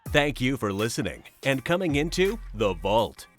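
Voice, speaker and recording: A man's voice: medium pitch, big pitch swings, moderate pace, faint music behind. A man reading a scripted podcast outro as an announcer.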